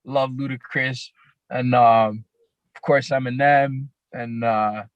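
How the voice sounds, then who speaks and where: A raspy young man with a Toronto accent, a rapper guest talking in a laid-back, halting way with many fillers. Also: medium pitch, fairly even intonation, no room sound, highs cut off. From Canada, grew up in Toronto. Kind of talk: casual podcast interview.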